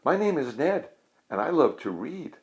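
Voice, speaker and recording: A shy, gentle little ball boy from a storybook, played by a man. The voice is soft and raised in pitch, with an earnest, modest manner. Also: very animated, takes it slowly, roomy sound, lo-fi sound.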